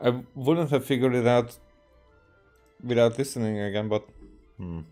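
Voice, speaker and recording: A man from Hungary, a native Hungarian speaker, giving casual, halting game playthrough commentary as he thinks aloud. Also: mid-range voice, dark tone, soft background music. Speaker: game streamer.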